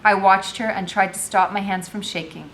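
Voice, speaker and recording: A woman's voice: steady tone, moderate pace, a bit bright. A woman reading a novel aloud as a teacher, with narration and informal explanation. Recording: faintly noisy home recording with low rumble.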